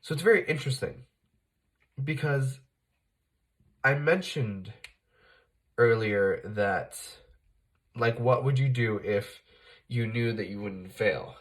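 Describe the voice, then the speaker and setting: A man's voice: medium pitch, expressive, slow, long pauses. A man hosting a tarot reading, speaking casually while fighting off a cold, often correcting himself and using fillers. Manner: conversational, self-correcting, many fillers. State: fighting off a cold, occasional cough. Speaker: tarot reader, channel host.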